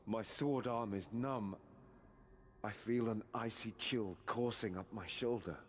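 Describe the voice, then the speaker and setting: An actor playing a proud, honourable knight in a fantasy game, speaking with earnest, formal resolve. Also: male voice, lowish voice, measured pace. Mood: strained resolve. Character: proud, honourable male knight resisting inner corruption. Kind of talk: scripted fantasy game acting. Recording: faint background ambience.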